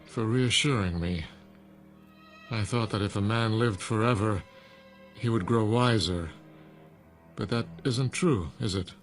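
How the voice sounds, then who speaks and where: An actor playing an old science professor in a radio drama, sceptical, proud and frightened, with a pointed, challenging manner. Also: male voice, fairly low pitch, a little slow, long pauses, natural voice. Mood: probing. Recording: radio drama with music underneath.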